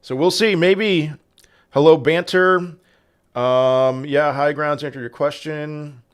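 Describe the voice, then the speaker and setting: A man's voice: fairly high pitch, steady tone, slow pace, clear, resonant tone. A man hosting a casual crypto livestream, reading chat questions aloud and answering them in a rambling way with frequent restarts. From Portugal. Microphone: desk microphone.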